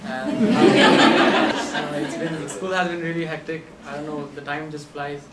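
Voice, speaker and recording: A man's voice: on the high side, steady tone, a little slow. A man from India, a graduate student, introducing himself on a panel, easygoing with uhs. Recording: echoey classroom, distant mic, noisy.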